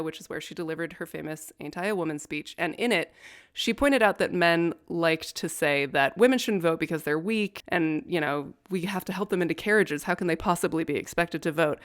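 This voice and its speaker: A woman's voice: lowish voice, fairly bright tone. A young adult woman co-hosting a podcast, telling a history story in casual chat with wry, profane asides and a nerdy interest in law. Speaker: podcast co-host.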